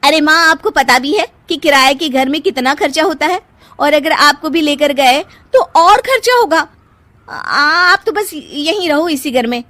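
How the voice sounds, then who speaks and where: A woman's voice: sits high, lively intonation, measured pace, sharp tone, voice pushed up, full character voice. A woman voicing a spoiled, scheming elder sister-in-law in a cartoon drama, haughty, whiny and demanding.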